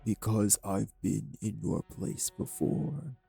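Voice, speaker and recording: A man playing a cold, manipulative vampire villain in an audio roleplay, speaking with deliberate, condescending menace in a husky, gravelly voice. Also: on the low side, warm tone, faint music behind, heavily acted. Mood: cold, insinuating. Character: cold, manipulative male vampire taunting his captive. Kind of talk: dramatic audio roleplay acting.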